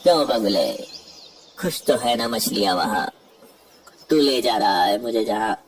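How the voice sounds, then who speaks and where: A man voicing a shrewd, cheeky crab with a rhyming, taunting manner in an animated fable, recorded at a distance with noise. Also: lowish voice, measured pace, faint music behind. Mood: needling. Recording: distant voice with clear background noise.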